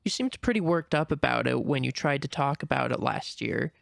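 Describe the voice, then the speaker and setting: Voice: mid-range voice, animated, fairly quick, gravelly, dry recording, clean studio sound. A man voicing a feisty small female rabbit, blunt and stubborn, in a dramatic reading of a screenplay. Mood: indignant, challenging.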